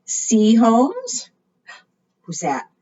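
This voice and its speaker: Animated woman leading preschool storytime, slightly husky. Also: on the high side, extremely slow. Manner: sing-song, asks the listeners questions. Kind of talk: children's storytime read-aloud with questions to young children. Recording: muffled, distant microphone.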